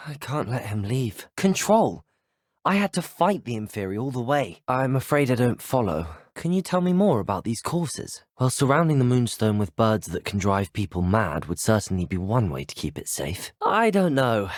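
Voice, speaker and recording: Expressive, husky young British student wizard. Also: male voice, medium pitch, dry recording, clean studio sound. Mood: focused, musing, then urgent shouting. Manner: courteous, articulate, formal phrasing. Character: earnest, polite young male student wizard, video game hero. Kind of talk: scripted video game dialogue, isolated voice clips.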